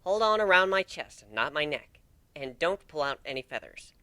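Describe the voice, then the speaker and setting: Changeling wife for a storybook reading male reader: soft, breathy, raised pitch, lilting, affectionate and a touch anxious. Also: sing-song, moderate pace, no echo. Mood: curt.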